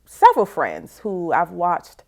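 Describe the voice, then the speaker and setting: Low-pitched woman, reflective and candid. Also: huge pitch swings, unhurried, creaky. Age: 40s. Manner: reflective and candid, frequent "you know". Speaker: interview guest. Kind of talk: conversational personal testimony.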